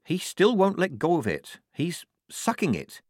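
Level-headed young female recruit, male narrator acting, practical, lifted pitch, dry recording. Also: huge pitch swings, a little slow, a little mellow, dry recording, heavily acted. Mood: practical, cautious. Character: sensible young woman soldier, disguised as lad.

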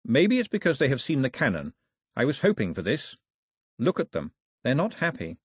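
A man voicing an earnest, steady teenage island boy who is a modest young chief, speaking plainly. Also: mid-range voice, normal speed, slightly rough, dry recording, clean studio sound, voice pushed up. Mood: earnest, calm.

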